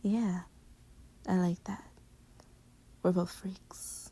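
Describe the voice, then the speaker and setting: A woman playing an affectionate, teasing girlfriend with a hidden insecurity, in intimate pillow-talk roleplay audio, often slipping into breathy whispers. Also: lowish voice, fairly even intonation, very slow, mellow, heavily acted. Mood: whispered teasing.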